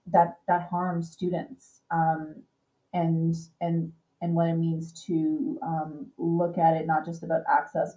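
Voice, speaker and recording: A woman's voice: deep voice, warm tone, distant mic, poor audio. A woman researcher thinking aloud in a panel discussion, circling back and hedging as she builds her point.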